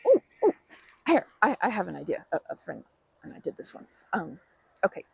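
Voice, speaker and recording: A woman acts out a timid, caring young woman offering comfort in an audio roleplay. Her voice is full of gravelly fry and slightly husky, recorded dry with hardly any room sound. She speaks haltingly, tripping over her words and apologizing as she consoles. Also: fairly low pitch, very animated, darkish tone, no room sound, heavily acted. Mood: eager, encouraging. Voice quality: creaky. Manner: hesitant, stammering, apologetic, halting starts. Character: timid, kind young woman, gently comforting a park acquaintance. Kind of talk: ASMR roleplay, intimate comforting talk.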